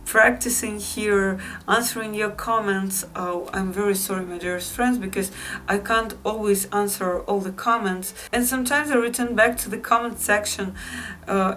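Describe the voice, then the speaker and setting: Russian lady vlogging in english, slow and chatty with lots of ums, mic a bit far off. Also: medium pitch, steady tone, fairly bright tone, slight background noise. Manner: unhurried, repeats phrases, frequent fillers. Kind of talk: casual vlog to viewers.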